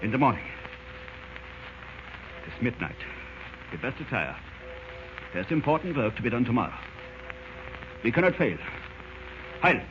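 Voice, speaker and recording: An actor playing a cold, fanatical German spymaster in a 1940s radio drama, with a German accent and a formal, deliberate, menacing manner. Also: male voice, mid-range voice, big pitch swings, over music. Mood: scornful, commanding. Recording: scratchy, muffled old-time radio recording.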